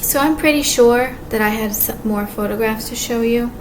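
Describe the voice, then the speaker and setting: A woman with a quiet voice talks casually to camera, rambling and halting with many restarts and fillers. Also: fairly high pitch. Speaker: vlogger. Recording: clear background ambience noise.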